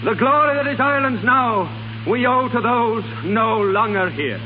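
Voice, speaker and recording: High-pitched solemn Irish patriot orator. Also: male voice, expressive. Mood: solemn, rousing. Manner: declamatory, slow weighty phrasing. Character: solemn impassioned Irish patriot addressing his people. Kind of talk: 1930s radio acting, public oration. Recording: dull, noisy 1930s radio, long reverb.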